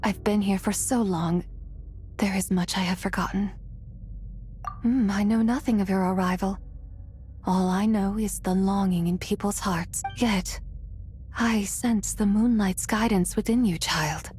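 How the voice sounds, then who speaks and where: A woman's voice: mid-range voice, fairly even intonation, a little slow, a little mellow, close to an everyday voice. A woman voicing an ancient, serene and forgetful immortal spirit in a video game, speaking formally and wistfully.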